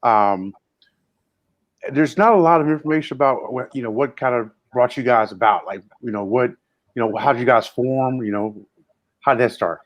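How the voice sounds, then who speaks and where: A man's voice: medium pitch, normal speed, no room sound. A man co-hosting a podcast, asking rambling, hesitant questions full of fillers. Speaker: podcast co-host. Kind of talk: casual interview chat. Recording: dull, dry lo-fi audio.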